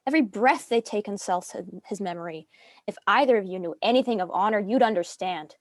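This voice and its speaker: A woman's voice: mid-range voice, fairly quick, no echo, close to an everyday voice. Woman as a proud noblewoman, close dry sound. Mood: wounded pride. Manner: formal, poised. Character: proud, composed noble widow bent on honor. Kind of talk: dramatic script reading.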